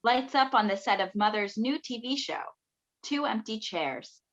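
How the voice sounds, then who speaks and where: A woman's voice: fairly high pitch, lively intonation, a little slow, a bit bright, highs cut off. A woman reading stage directions as scripted narration for a play over a video call.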